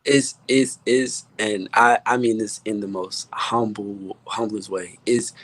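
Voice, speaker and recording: A man with an American accent talking casually as a guest music producer, halting and repeating words as he stresses his points. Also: lowish voice, fairly even intonation, a bit bright. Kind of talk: casual panel talk.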